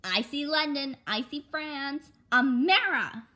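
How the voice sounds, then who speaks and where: A noisy, excitable kid from a storybook, put on by a woman. The voice jumps high and loud, swinging between sing-song and shrieks. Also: slow, full character voice. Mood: teasing.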